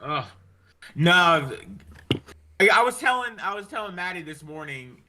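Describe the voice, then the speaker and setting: A man with a high, very sharp and forceful voice talks like a fed-up sports fan on a podcast. He rants casually, with plenty of cursing and exasperation. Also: animated, normal speed. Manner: ranting, heavy swearing, exasperated. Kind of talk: casual hockey fan chat.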